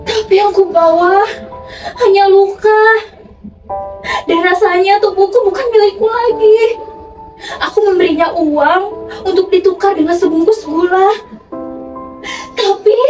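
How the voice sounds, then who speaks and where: A very high-pitched, vulnerable schoolgirl, played by a woman storyteller, speaks with earnest feeling. The voice is echoey and set back from the mic. It belongs to a staged, dramatic story. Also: fairly even intonation, measured pace, pauses often, darkish tone, distant mic. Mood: tearful, trembling. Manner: pleading, emotional delivery. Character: frightened schoolgirl, polite, then tearful and defiant. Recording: echoey room from afar, background music.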